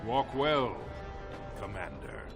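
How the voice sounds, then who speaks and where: A man's voice: on the low side, sing-song, takes it slowly, vocal fry, heavily acted. Stately dwarf king over music. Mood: hearty, commanding. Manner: formal, commanding, grand oratory. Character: dwarf king, stately proud aging father. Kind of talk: fantasy game cutscene acting. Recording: loud background music.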